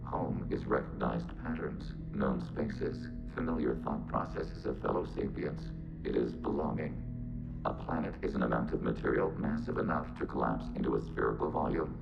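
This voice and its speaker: An extremely low, heavily creaking robotic voice, a calm machine intelligence from a sci-fi game. It speaks in measured, literal, detached phrases. Loud music sits behind the muffled sound. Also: male voice, full character voice. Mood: detached, matter-of-fact. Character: calm synthetic machine being speaking for a collective.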